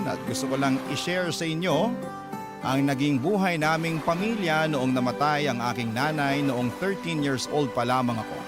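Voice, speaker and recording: A man hosting and narrating sentimental stories in earnest, dramatic scripted style. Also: a little high. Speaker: radio drama host and narrator. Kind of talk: dramatic scripted storytelling in Tagalog, reading letters. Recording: loud music bed under voice.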